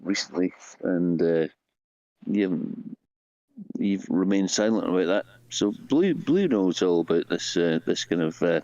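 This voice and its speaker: Brief: deep-voiced man, slow and halting, dry and dull lo-fi mic, casual stream guest. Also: expressive, dark tone, dry recording. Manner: halting, hesitant, swears. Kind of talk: casual chat.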